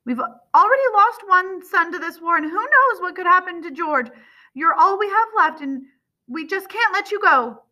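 A woman's voice: fairly high pitch, expressive, moderate pace, hardly any pauses, pushed and bright, pitched above the performer's own voice. A woman playing a worried, grieving, fiercely protective mother in a story, emotional and insistent. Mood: pleading.

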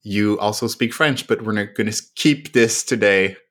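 A man hosting a podcast interview, easygoing and quick to laugh between questions. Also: on the low side, a bit bright. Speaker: podcast host.

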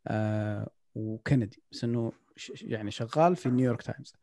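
Gravelly fast-talking man. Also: mid-range voice, mellow, dry recording. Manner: reflective, mixes in English phrases, many restarts. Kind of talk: casual podcast conversation.

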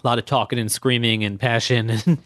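Hoarse man in a dry, close monologue. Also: medium pitch, fairly even intonation, a little fast, a bit bright, no echo. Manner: emphatic, argumentative, rhetorical questions. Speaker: political podcast host. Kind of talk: opinionated political monologue.

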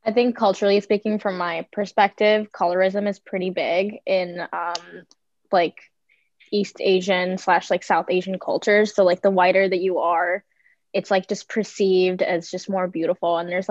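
A woman's voice: on the low side, flat delivery, normal speed, a little mellow, dry recording, highs cut off. A woman in her twenties speaking thoughtfully as a podcast guest, with many fillers. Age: 20s. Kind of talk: casual group chat.

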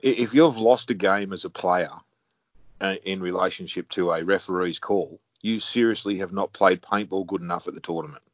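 Deep-voiced blunt referee man. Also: moderate pace, dry recording, muffled recording. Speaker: head referee. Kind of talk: casual conversation.

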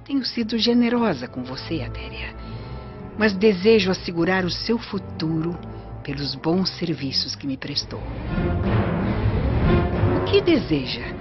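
A woman's voice: lowish voice, big pitch swings, unhurried, pauses often. A woman playing an imperious, scheming noblewoman in a radio drama, with a Brazilian accent and a formal, commanding manner. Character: imperious, scheming Roman noblewoman, coldly ambitious. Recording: muffled radio drama with prominent music.

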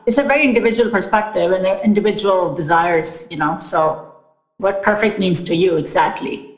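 A woman's voice: mid-range voice, normal speed. Chatty woman in an echoey room. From Pakistan. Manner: upbeat, chatty, many fillers like "like" and "you know". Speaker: podcast guest, wellness business founder. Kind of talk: casual interview chat.

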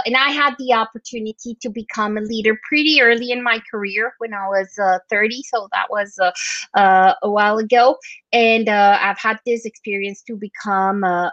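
A woman in her forties from Colombia, a guest on a podcast interview, talking with many pauses, fillers and restarts. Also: on the high side, a bit bright, no room sound. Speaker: guest, marketing leader. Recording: dull lo-fi sound, dry.

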